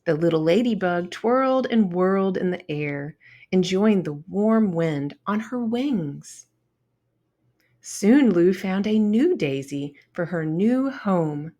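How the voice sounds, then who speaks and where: A woman narrating a children's storybook as an expressive read-aloud. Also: on the low side, takes it slowly, highs cut off.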